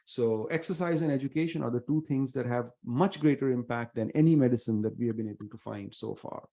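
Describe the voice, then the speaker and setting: Casting: middle-aged Indian-accented man, warm low-key tone, earnest guest answering with pauses and fillers. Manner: earnest, measured, many fillers. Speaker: venture investor guest. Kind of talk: reflective interview answers.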